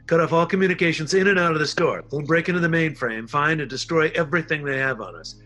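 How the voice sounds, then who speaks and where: A man's voice: on the high side, quick pace, pushed and bright, soft background music. A man playing a smug villain barking orders in a script table read. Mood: smug, commanding. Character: commanding, smug male villain giving orders.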